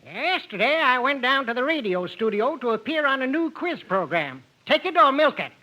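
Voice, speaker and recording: Very high-pitched folksy farmer. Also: male voice, very animated, moderate pace. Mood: folksy, chipper. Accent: American. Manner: rustic, folksy joke-telling. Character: folksy wisecracking male farmer from a small town. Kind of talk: 1950s radio comedy acting. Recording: noisy old radio recording with clicks.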